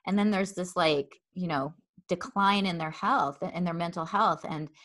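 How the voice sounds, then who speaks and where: A woman's voice: fairly low pitch, unhurried, fairly bright tone. A woman hosting an interview, earnest and wordy, with frequent ums and repeated words. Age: adult with a child. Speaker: interview host. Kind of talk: interview conversation.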